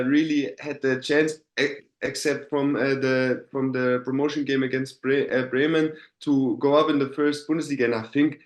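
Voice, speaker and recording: A young man in his twenties with a German accent, a footballer speaking hesitant non-native English with many fillers and restarts in a casual interview. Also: medium pitch. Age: 20s. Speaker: professional footballer, guest. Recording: roomy echo.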